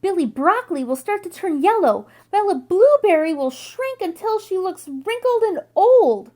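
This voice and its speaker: A woman reading a children's picture book aloud in an expressive, deliberate storytelling manner. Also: very high-pitched.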